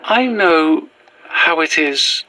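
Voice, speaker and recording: An actress playing a formal Victorian woman's spirit in a Gothic audio drama, with measured old-fashioned diction. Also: low voice, expressive, bright, forceful tone, dry recording, full character voice, rough recording. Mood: reflective. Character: formal, dignified Victorian woman spirit possessing another's body.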